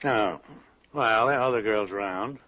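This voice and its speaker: A man's voice: on the low side, normal speed, bright, forceful tone. An actor playing a genial old veteran safecracker in a 1940s radio drama, avuncular, repeating his phrases with wistful pride. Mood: fatherly, wistful. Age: older. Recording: muffled old-time radio recording.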